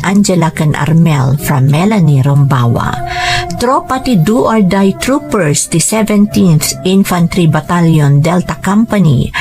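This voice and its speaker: A woman's voice: lowish voice, darkish tone. A woman with an Ilocano accent hosting a story programme, reading out greetings and letters from listeners. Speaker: storyteller and host. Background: background music.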